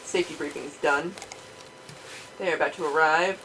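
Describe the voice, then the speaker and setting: A woman's voice: sits low, fairly even intonation, normal speed, fairly bright tone, a little hiss or hum, voice pushed down, low-quality recording. A woman voicing a tired, sarcastic male programmer with a weary, irritable manner. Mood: snappish.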